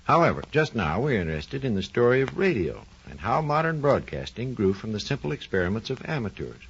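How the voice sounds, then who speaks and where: A man's voice: mid-range voice, big pitch swings, a little fast, creaky, a little hiss or hum. An American man giving a scripted old-time radio science lecture as an expert guide, formal and didactic. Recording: dull, lo-fi old radio recording.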